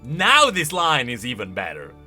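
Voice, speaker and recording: A man giving casual, expressive commentary as a video host, thinking aloud as he goes. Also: medium pitch, moderate pace, soft background music.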